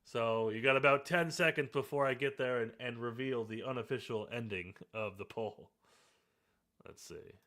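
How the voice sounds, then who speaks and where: A man's voice: medium pitch, normal speed. A man hosting a podcast after-show, talking casually and rambling with fillers. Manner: relaxed, rambling, many fillers. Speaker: podcast host.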